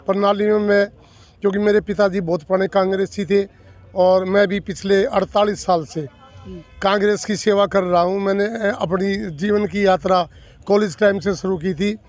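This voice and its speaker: A man's voice: high-pitched, steady tone, moderate pace, darkish tone. A man in his sixties from the villages of North Delhi, answering interview questions as an election candidate in an earnest, emphatic way, repeating and listing points. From North Delhi villages, India. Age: 60s.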